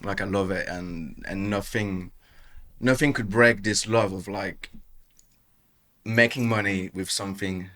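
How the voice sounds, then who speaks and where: A man's voice: low-pitched, unhurried, fairly bright tone. A man in his thirties with a French accent, a tattoo artist chatting loosely in an interview, full of fillers. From France. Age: 30s. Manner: rambling, filler-heavy, often says "you know what I mean". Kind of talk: casual podcast interview.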